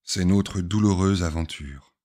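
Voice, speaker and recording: Man, deep low pitch, very slow pace, solemn poetry reading, short measured phrases, deliberate pauses. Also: mellow. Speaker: reader of spiritual poems.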